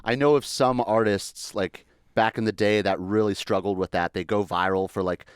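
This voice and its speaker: A man with a lowish voice co-hosting a podcast, putting relaxed, meandering questions to a guest. The sound is close and dry. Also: normal speed, clean tone, no room sound. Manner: easygoing, filler-heavy questioning. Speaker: podcast co-host. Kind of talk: casual interview questions.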